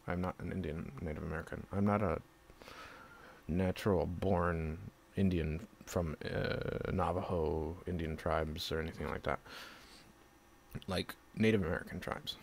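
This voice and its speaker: A man from Southern California with a gravelly voice, rambling defensively in a monologue, swearing and breaking into sudden loud bursts. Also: low voice, slight background noise.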